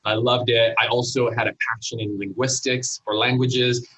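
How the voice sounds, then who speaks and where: A man's voice: mid-range voice, level tone, moderate pace, pushed and bright, slightly rough, rough recording. A man from Italy whose first language is Italian, speaking English with an Italian accent as a guest in a casual interview, thoughtful and hesitant with many fillers. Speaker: guest entrepreneur.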